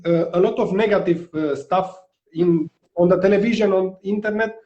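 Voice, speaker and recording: A man hosting a live interview over a video call. He asks questions in halting, non-native phrasing and adds brief interjections. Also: sits high, fairly even intonation, darkish tone. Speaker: host. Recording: dull lo-fi video call audio.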